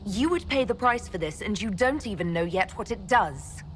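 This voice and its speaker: A woman's voice: mid-range voice, expressive, normal speed, slight background noise, close to an everyday voice. An actress playing a skeptical, direct heroine who leads others in a fantasy game, serious and probing in her questions. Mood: challenging. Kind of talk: dramatic scripted game dialogue.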